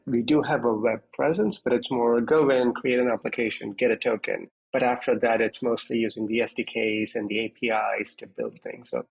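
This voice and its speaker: Male security executive as interview guest, slightly rough, husky voice, conversational and methodical explainer. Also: mid-range voice, poor audio.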